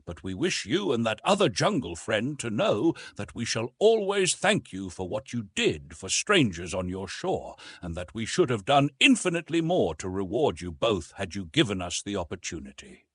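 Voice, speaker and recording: An earnest, courteous gentleman's letter of thanks read out by a male narrator in an old adventure novel. It is formal and grateful, with wide pitch movement and a husky grain. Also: big pitch swings, moderate pace, few pauses, no room sound.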